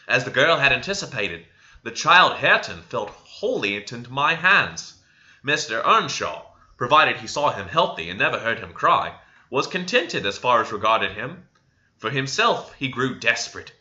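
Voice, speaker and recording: Male audiobook reader, classic fiction: lively, swinging intonation, slightly dull home recording. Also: mid-range voice. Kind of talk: dramatic reading of a classic novel.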